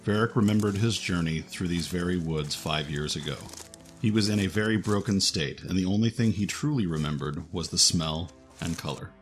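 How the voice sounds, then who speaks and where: A man's voice: low-pitched, normal speed, soft background music. A man reading scripted narration for a fantasy adventure story.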